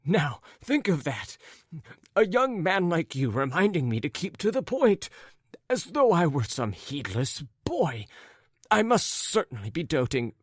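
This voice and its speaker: Man acting out a middle-aged storybook gentleman, high-pitched, agitated, muddled, apologetic, rambling, very animated intonation. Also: a little slow, no echo, studio quality. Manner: agitated, wordy, stumbling and correcting himself.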